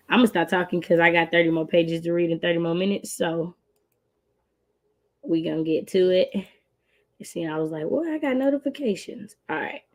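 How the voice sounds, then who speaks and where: A woman with an American accent hosting a book readalong stream in an animated, colloquial, emphatic way. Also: on the low side. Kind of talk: casual livestream chat.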